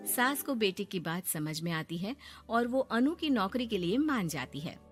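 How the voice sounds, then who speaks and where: A woman's voice: mid-range voice, very animated, moderate pace, music in the background. A woman narrating a scripted comic cartoon story in storytelling style.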